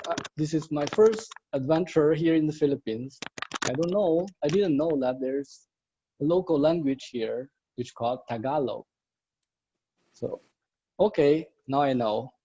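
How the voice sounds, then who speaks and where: A man from China, telling a personal story in a slow, deliberate prepared club speech over a video call. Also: on the high side, warm tone, husky. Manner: slow, deliberate, with simple plain phrasing. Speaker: prepared speaker. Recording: muffled lo-fi audio.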